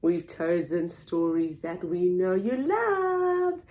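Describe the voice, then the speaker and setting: A woman hosting a children's story time, reading aloud in an animated, expressive, theatrical way for very young listeners. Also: fairly low pitch, takes it slowly. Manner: expressive, theatrical, with gasps and whispers. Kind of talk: animated read-aloud for toddlers.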